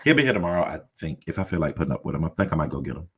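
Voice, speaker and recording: A man with a deep voice hosting a casual chat, talking in a laid-back, rambling way. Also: sing-song, rapid-fire, breathy edge. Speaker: host.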